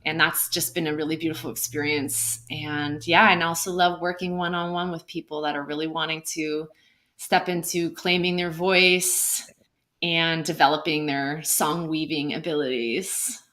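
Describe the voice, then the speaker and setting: Bright-voiced woman musician chatting gently. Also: sits low, flat delivery, normal speed. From California. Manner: gentle, earnest, laughs easily. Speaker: musician guest. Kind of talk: singing then casual chat. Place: home, at a window with trees outside.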